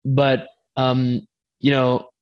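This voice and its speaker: A man's voice: mid-range voice, completely flat delivery, drawn-out words. A man from Colombia with a Colombian Spanish accent, hosting a casual online chat over a video call and often stammering into restarts. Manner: chatty, stammering restarts, self-deprecating. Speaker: host. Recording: dull lo-fi video call audio.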